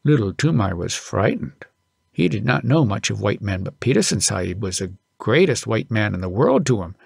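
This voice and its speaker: A man narrating an audiobook as an expressive storyteller. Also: fairly low pitch, moderate pace, gravelly, husky, dry recording, studio quality.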